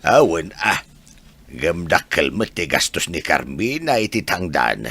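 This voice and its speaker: A man's voice: deep voice, very animated, unhurried, a bit bright, husky, full character voice. A man playing a gossipy village husband who tells news emphatically, in a Filipino radio drama. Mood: chatty. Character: gossipy, animated village husband chatting with his wife.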